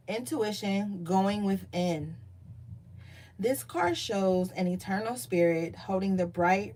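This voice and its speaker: A woman giving a casual tarot reading, chatty and profane, reading card meanings aloud more calmly. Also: lowish voice, slight background noise. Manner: loose, profane, chatty asides. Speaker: tarot reader.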